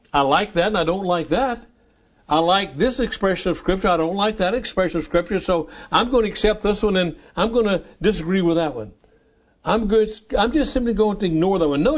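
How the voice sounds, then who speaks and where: A man in his nineties preaching a sermon as pastor, repeating key phrases slowly and with emphasis. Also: high voice. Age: 90s.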